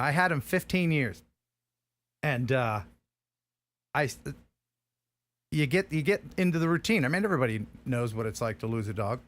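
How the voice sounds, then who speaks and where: A man's voice: mid-range voice, a bit bright. A middle-aged man hosting a live stream, chatting casually in a rambling, heartfelt way while grieving a lost dog. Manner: rambling and heartfelt, with frequent restarts. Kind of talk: casual chat.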